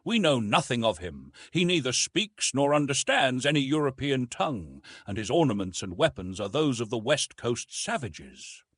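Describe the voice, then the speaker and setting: A man voicing a formal, jealous gentleman in an audiobook, precise and insistent. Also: mid-range voice, very animated, normal speed, few pauses, slightly rough, no room sound, clean studio sound. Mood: puzzled, insistent. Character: chivalrous but jealous gentleman, formal and sneering.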